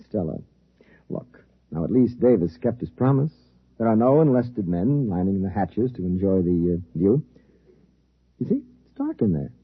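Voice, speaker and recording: A reasoning, briskly reassuring science officer with a husky, lively delivery. It is 1950s radio drama, recorded dry and thin with a narrow band. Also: male voice, fairly low pitch, no room sound. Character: rational, dismissively reassuring male science officer, a major.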